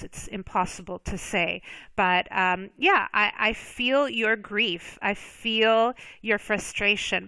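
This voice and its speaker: A woman speaking to her viewers in an easygoing, reflective monologue, a native English speaker who fills her pauses with ums. Her voice is bright and crisply clear, with a tense edge. The sound is dry, with no room echo. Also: mid-range voice. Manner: reflective, lots of ums and restarts. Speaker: vlogger. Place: Spain.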